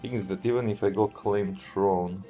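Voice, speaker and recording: Man, very low voice, Serbian accent, relaxed live game commentary, faint music behind. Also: moderate pace. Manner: relaxed, thinking aloud, often trails off and restarts. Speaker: streamer.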